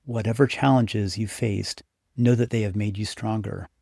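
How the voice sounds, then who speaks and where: Low-voiced man guiding a meditation. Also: fairly even intonation, gravelly, warm tone, no echo, studio quality. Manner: unhurried, instructive, encouraging second-person address. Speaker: meditation guide. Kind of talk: guided meditation, scripted narration.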